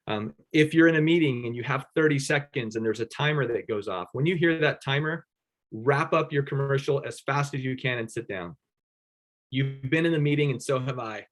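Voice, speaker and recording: A man with a Pittsburgh-area American accent gives a casual networking workshop. He talks conversationally in a middle pitch, often checking in with the audience and pausing on "um" and "uh.". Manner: conversational, checks in with "right?", frequent fillers. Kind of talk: casual instructional talk.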